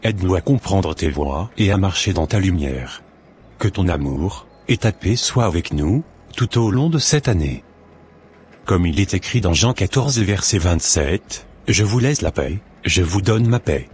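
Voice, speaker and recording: Very deep-voiced, earnest devout schoolboy son, played by a man. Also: lively intonation, darkish tone. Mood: reverent, solemn. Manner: earnest, reverent, measured. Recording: noticeable background noise.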